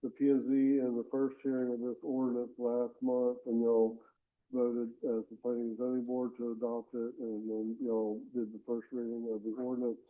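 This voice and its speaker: Nasal man, flat steady delivery. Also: medium pitch, moderate pace. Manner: polite, steady, reads legal text aloud. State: nasal from a head cold. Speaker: planning consultant presenting to county board. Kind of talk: formal presentation at public meeting. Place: county commission meeting room. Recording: phone-like meeting audio.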